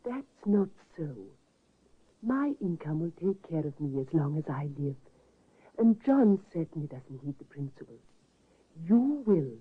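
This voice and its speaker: An earnest, devoted grown daughter who speaks gently, her voice lowish and husky with lively rises and falls. The sound is thin and tinny, like an old radio broadcast. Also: measured pace, full character voice. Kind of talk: 1950s radio drama acting.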